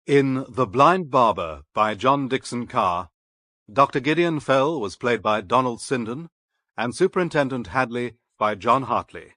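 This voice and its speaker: A man's voice: medium pitch, expressive, unhurried, pushed and bright, no room sound, clean studio sound. A man reading scripted closing credits as a radio announcer.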